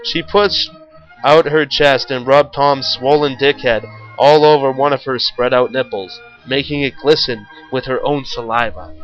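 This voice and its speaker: A man's voice: medium pitch, measured pace, dull audio, faint music behind. A man reading a story aloud in a theatrical, mock-serious way. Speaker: story reader, show host.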